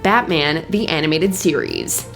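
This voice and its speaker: A woman's voice: deep voice, lively intonation, measured pace, a bit bright. A woman delivering scripted narration as the host of a countdown video, with music behind her.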